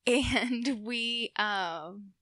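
Slow-talking young woman, bright American voice. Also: on the high side. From Puerto Rico. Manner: slightly nervous, halting delivery. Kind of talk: casual storytelling.

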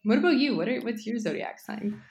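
Gravelly-voiced woman podcast guest. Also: fairly high pitch, expressive, brisk, a little mellow, dull audio. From Western Canada. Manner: friendly, uses fillers like "um". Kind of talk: casual interview chat.

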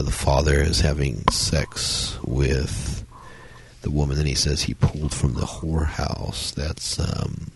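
A man hosting a solo film review podcast, talking loosely with lots of fillers, his voice strained by a cold. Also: a little mellow. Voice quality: very deep, gravelly. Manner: rambling, many fillers and restarts. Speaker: podcast host reviewing films. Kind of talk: solo casual review talk.

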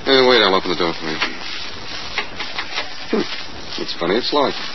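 An actor playing a wisecracking, self-assured amateur detective in 1940s radio drama style, with glib, bantering delivery. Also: male voice, sits low, animated, moderate pace. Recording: muffled, noisy old radio recording.

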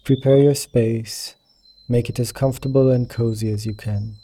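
A man leading a guided meditation as a teacher, speaking in a gentle, soothing, unhurried manner. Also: mid-range voice, steady tone, husky. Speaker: meditation teacher guiding yoga nidra.

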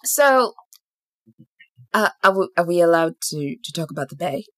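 A woman's voice: lowish voice, animated, moderate pace, fairly bright tone. A woman chatting casually with a friend in a teasing, playfully dramatic way. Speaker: podcast host. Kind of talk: casual chat between friends.

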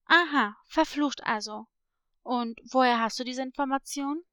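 A woman plays a headstrong little animal girl from a children's story. She is brusque and determined, with a high pitch and a dry sound. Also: measured pace, fairly bright tone, smooth, no echo.

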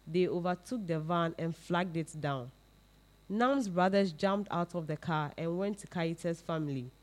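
A woman's voice: fairly low pitch, a little mellow. A woman reading literary fiction aloud as a scripted narration. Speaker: reader.

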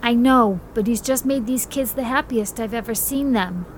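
A woman's voice: a little high, normal speed, slight background noise, lightly acted. A woman playing a heartfelt, urgent woman. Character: conflicted adult woman, friend of the hero.

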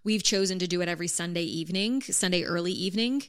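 A woman with a slightly low voice talks through routines and plans on a couple's podcast. She is earnest and practical, with a teasing edge and the occasional swear word. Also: fast talker, clear, resonant tone. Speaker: podcast co-host. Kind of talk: casual couple's podcast chat.

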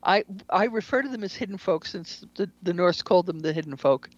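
A woman speaking as an interview guest, explaining spiritual ideas in an earnest, measured way. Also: lowish voice, lively intonation, dry recording, highs cut off. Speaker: interview guest, shamanic practitioner and author.

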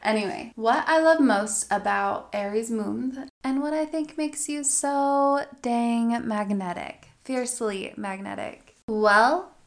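A woman talking directly to her viewers in a gentle, soft voice that often drops near a whisper, sounding a little stuffy from allergies. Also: a little high, slow, few pauses, roomy sound. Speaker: astrology vlogger. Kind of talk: reflective spoken monologue to viewers.

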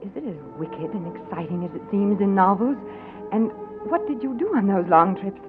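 An actress in a 1940s radio drama playing a gracious young princess, refined and teasing in manner. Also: female voice, medium pitch, expressive, normal speed, close to an everyday voice. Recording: tinny radio broadcast with music underneath.

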